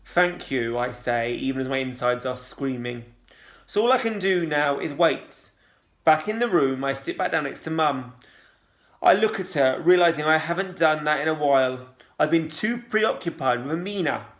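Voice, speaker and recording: A man calmly and expressively reading a children's novel aloud, then posing questions to listeners like a teacher. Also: on the high side, moderate pace. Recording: roomy echo.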